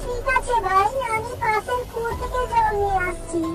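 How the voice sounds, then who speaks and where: A woman voicing a poor, frightened little girl who pleads and whimpers softly. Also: fairly even intonation, normal speed, faint music behind. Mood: tearful. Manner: whimpering, pleading, high and soft.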